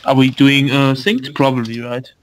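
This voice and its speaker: A man's voice: mid-range voice, little pitch movement, moderate pace, slight background noise. A man chatting casually with friends in a voice call while playing an online game, restarting his sentences often. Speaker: gamer in voice chat.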